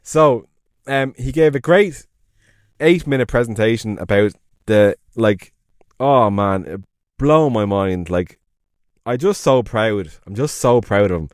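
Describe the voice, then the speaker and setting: Irish male podcast co-host, lower register, eager and meandering delivery. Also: measured pace, no room sound, studio quality. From Ireland. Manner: enthusiastic, rambling, self-correcting. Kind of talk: casual chat.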